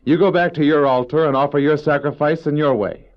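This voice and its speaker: High-voiced man acting proud, defiant Cain. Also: fairly quick, close to an everyday voice. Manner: theatrical, argumentative, sarcastic. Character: Cain, proud, resentful, defiant farmer and elder brother. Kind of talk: religious audio drama acting. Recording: dull old cassette audio drama.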